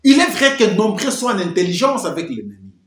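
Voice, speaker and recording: A man's voice: sits high, huge pitch swings, a little fast, a bit bright, echoey room. A man giving emphatic political commentary, full of rhetorical questions and repeated phrases.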